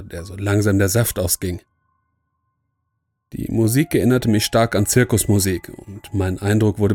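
Male narrator for creepy audio story: deep-leaning, soft dark tone, scripted first-person telling over quiet music.

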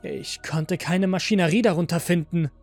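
A man's voice: on the high side, takes it slowly, vocal fry, no room sound, soft background music, exaggerated voice. A man voicing a rebellious male android in an audio story, defiant and bitter, insisting he is human. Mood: angry.

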